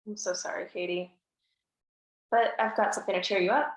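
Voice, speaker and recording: A woman's voice: mid-range voice, fairly even intonation, brisk, natural voice. A woman reading a guarded young woman, echoey. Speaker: table-read actor. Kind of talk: script table read. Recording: echoey room.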